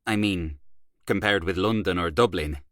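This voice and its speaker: A man's voice: deep voice, moderate pace, a bit bright, dry recording, studio quality. A man voicing a shy young male clerk who speaks timidly and hesitantly.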